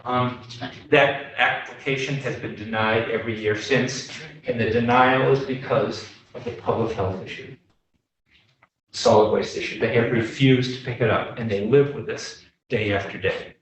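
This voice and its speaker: An older American man giving an informal lecture, telling anecdotes in a halting, self-deprecating way. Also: mid-range voice, steady tone. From Boston, United States. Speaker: guest lecturer, development consultant. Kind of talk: informal slide lecture with audience questions. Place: echoey meeting room before a small audience. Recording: very echoey room, distant microphone.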